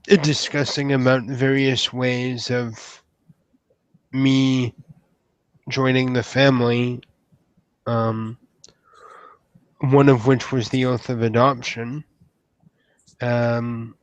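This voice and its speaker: A man playing an awkward, polite troll knight in a tabletop roleplay, speaking in a halting, formal, flustered way. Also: mid-range voice, clean tone, no room sound, highs cut off. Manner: halting, hesitant, hedging politely.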